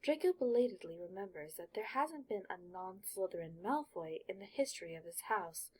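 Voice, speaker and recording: A woman narrating a fan fiction audiobook in an expressive storytelling manner. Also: mid-range voice, moderate pace, husky.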